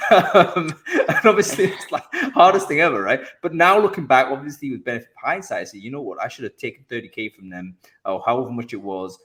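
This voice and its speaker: A man's voice: mid-range voice, a bit bright. A man hosting an interview show, recapping his guest's advice in casual, enthusiastic chat with frequent fillers and restarts. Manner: enthusiastic, rephrases and sums up guest's points. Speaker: podcast host.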